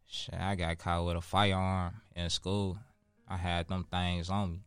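A man in his twenties with a Chicago accent and a fairly low voice. He talks casually as an interview guest, leaning on street slang, swearing and repeating phrases to press his point. Faint music plays behind him. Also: little pitch movement, measured pace, smooth, no room sound. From Chicago, United States. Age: 20s. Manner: street slang, profane, emphatic repetition.

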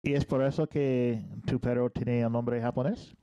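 Dark-voiced older man hosting an interview. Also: mid-range voice, steady tone, a little slow, clear, resonant tone, no echo, poor audio. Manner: friendly, teasing, asks guiding questions. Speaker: podcast host. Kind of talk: casual interview.

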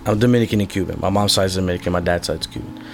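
A man's voice: low voice, racing, gravelly, slight background noise. A young man, a musician, talking candidly about his life in a rambling way, with many fillers and casual swearing. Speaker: musician being interviewed. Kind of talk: candid personal conversation.

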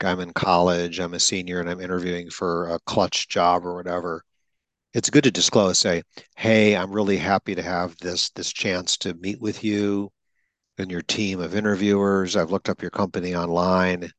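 A man's voice: deep voice, steady tone, moderate pace, dry recording. A man interviewing a guest about stuttering, speaking emphatically and acting out example lines. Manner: emphatic, gives vivid examples and acts out lines. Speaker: interviewer, speech coach who stutters. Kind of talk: coaching conversation.

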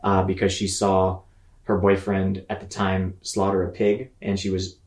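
Low-voiced man in an echoey room. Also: monotone, unhurried, darkish tone. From Mississippi, United States. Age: 30s. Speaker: interview guest. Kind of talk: conversational personal storytelling. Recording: roomy, echoing sound.

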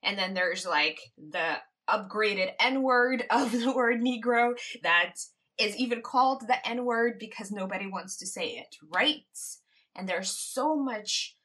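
A woman's voice: medium pitch, takes it slowly, a bit bright. A woman from the Caribbean hosting a podcast, talking in a reflective, casual monologue and laughing lightly between her points. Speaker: podcast host.

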